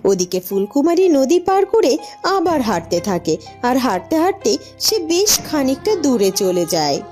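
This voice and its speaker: A woman's voice: high-pitched, big pitch swings, moderate pace, darkish tone, soft background music. A woman reading scripted storybook narration as the narrator of a tale.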